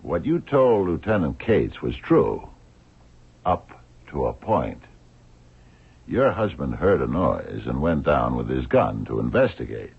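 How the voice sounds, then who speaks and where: Very deep gravelly radio detective. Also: male voice, full character voice. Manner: probing, deliberate, pausing questioner. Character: shrewd, blind male police detective, calmly relentless. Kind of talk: old-time radio drama acting. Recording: muffled, narrow-band old radio recording.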